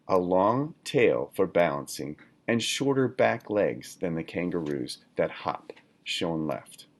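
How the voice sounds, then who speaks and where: A man reading a children's nonfiction book aloud in a deliberate, instructional manner, like a teacher. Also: deep voice. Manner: deliberate, instructional, poses questions to listeners. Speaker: read-aloud reader, teacher.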